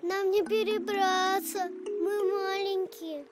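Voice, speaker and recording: An actor voicing a small, eager, childlike animal in a children's cartoon, earnest and expressive. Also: very high voice, slow. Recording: loud children's music behind. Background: cartoon music.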